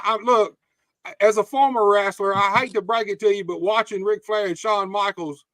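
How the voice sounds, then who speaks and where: A man's voice: high voice, fairly bright tone, no echo. A man hosting a wrestling podcast, reading viewer comments and bluntly challenging his guest. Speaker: podcast host. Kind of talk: casual interview.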